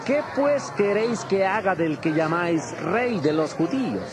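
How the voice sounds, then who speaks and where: An actor playing a puzzled Roman governor with declamatory questioning in a dramatized audio Bible. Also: male voice, sits high, very animated, measured pace, crowd noise. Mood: insistent appeal.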